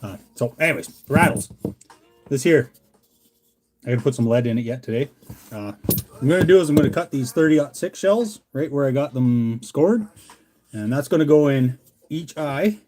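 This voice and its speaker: A man's voice: mid-range voice, animated, moderate pace, long pauses, darkish tone, slight background noise. Laid-back, folksy Canadian man chatting. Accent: Canadian English. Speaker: livestream host, hobbyist lure maker. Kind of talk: casual chat with live viewers.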